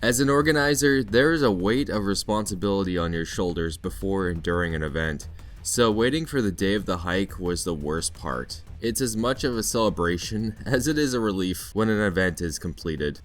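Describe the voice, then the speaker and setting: A man's voice: deep voice, animated, normal speed, faint music behind. A Canadian man narrating a scripted vlog in a playful storytelling way with mock-dramatic flourishes. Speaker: vlog narrator, teacher in Japan.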